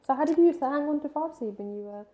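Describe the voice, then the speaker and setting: A woman's voice: high voice, expressive, quick pace. Woman hosting an interview, curious friendly questions, tinny phone-ish audio. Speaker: talk show host. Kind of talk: interview chat.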